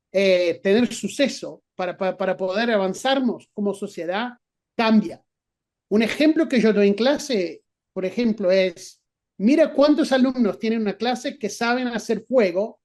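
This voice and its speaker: A man's voice: high-pitched, a little mellow. A middle-aged man with an Argentine Spanish accent, a guest professor answering interview questions, thinking aloud with many restarts and fillers. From not from Argentina.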